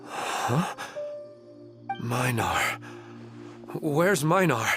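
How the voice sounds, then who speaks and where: A man's voice: mid-range voice, big pitch swings, extremely slow. A man voicing an earnest, dazed husband lost in his dreams in a video game, with a hesitant manner. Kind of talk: video game character acting. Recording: music behind the voice.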